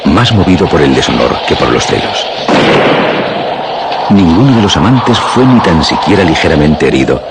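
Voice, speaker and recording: A man narrating a scripted radio drama in a literary, dramatic way with measured phrasing. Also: rumbling low, very animated, heavy background noise. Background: music.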